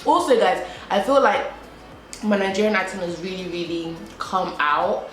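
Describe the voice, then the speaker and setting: A woman's voice: medium pitch, lively intonation, moderate pace, soft background music. Chatty young British woman vlogging. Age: young adult. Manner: chatty, repeats herself, frequent "like". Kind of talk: casual chat to camera while eating. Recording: echoey room with faint background hum.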